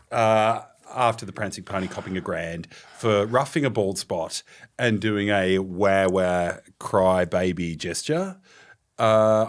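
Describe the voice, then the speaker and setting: A man in his thirties with an Australian accent, a podcast co-host telling footy stories in loud, swearing banter. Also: lowish voice. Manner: loud, boisterous storyteller who swears. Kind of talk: casual banter.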